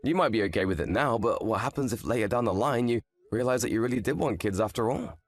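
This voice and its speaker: A man's voice: mid-range voice, lively intonation, fast talker, dry recording, faint music behind. A man playing an earnest, frank young husband in scripted story dialogue, speaking expressively. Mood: anxious, grateful.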